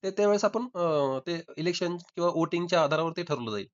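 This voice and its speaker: A man talks very quickly on a recorded phone call with dull, lo-fi sound. He argues his side insistently, repeating points as he goes, in a slightly high voice. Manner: insistent, argumentative, repeating himself. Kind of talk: informal debate.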